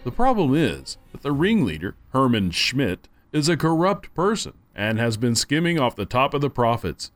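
A man's voice: medium pitch, sing-song, normal speed, few pauses, soft background music. A man giving an enthusiastic, conversational audiobook review. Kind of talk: casual spoken review.

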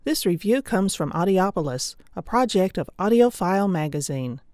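A woman reading a scripted audiobook review in a measured, enthusiastic way. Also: fairly low pitch, expressive, a little mellow, dry recording, studio quality.

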